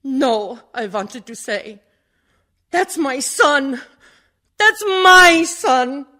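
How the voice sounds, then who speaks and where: Woman acting sorrowful mother, slightly high voice, unhurried pace, dramatic monologue, radio play. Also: lively intonation, pushed and bright, full character voice. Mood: anguished pride. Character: sorrowful mother remembering her secret son. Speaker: actor in a community theatre radio play.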